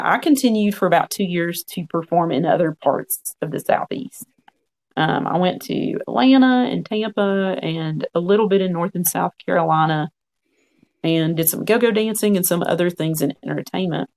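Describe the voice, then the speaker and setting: Guest storyteller: man, 40s, gravelly fry, frank and earnest, chatty. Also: sits high, moderate pace, darkish tone. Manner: frank, earnest, quotes own past thoughts. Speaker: podcast guest. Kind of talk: conversational storytelling.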